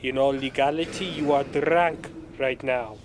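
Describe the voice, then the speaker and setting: A man's voice: mid-range voice, fairly even intonation, unhurried, a little hiss or hum, natural voice. A man playing a sly, blunt military general with an authoritative, pointed manner in an audio drama. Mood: commanding.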